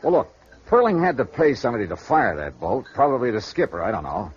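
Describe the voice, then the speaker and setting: Dry-witted hardboiled investigator, lively delivery, muffled old radio sound. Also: male voice, mid-range voice, fast, slightly rough. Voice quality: dry, wry, easygoing. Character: wry, tough adult male insurance investigator. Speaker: insurance investigator and narrator. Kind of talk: 1950s radio noir narration. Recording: dull old radio broadcast.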